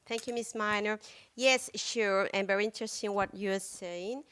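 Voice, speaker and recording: A woman's voice: medium pitch, fairly even intonation, normal speed, fairly bright tone, clear, resonant tone. A woman moderating a panel, putting questions formally to a speaker.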